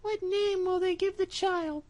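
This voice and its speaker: Squeaky little mouse. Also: female voice, fairly even intonation, measured pace, darkish tone. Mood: curious. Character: trusting little female mouse, eager.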